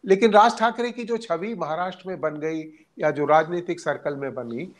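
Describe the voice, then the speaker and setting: A man giving unscripted political analysis as a journalist, speaking haltingly with hesitant pauses mid-sentence. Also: fairly high pitch.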